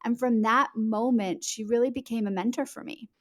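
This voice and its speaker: A woman's voice: fairly high pitch, fairly even intonation, normal speed. Woman author in conversational interview. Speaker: guest author.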